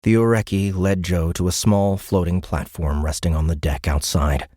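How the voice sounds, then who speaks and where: A man's voice: sits low, fairly even intonation, normal speed, warm tone, no room sound, clean studio sound. A man reading scripted science fiction narration for an audiobook in a dramatic storytelling style.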